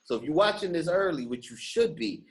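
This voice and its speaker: Fast-talking male podcast host. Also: a little high, low-quality recording. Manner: animated street slang, repeats phrases for emphasis. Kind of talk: casual podcast chat.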